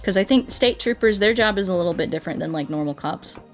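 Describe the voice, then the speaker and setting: Young woman, American accent, lower-pitched voice, casual rambling streamer chat, music in the background. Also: expressive, quick pace. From United States. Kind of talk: casual livestream chat while gaming. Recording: music playing behind the voice.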